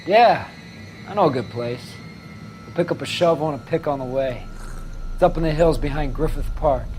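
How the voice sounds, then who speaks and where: A man's voice: a little high, normal speed, darkish tone, a little hiss or hum, close to an everyday voice, low-quality recording. An actor playing a menacing 1940s American gangster henchman with a taunting tough-guy swagger in a crime video game. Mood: smug.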